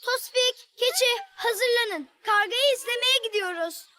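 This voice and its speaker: A woman's voice: very high voice, unhurried, exaggerated voice. A woman voicing a cheerful, curious little girl in a children's cartoon, talking expressively to her animal friends. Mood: eager, playful. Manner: expressive, talks aloud to her pets.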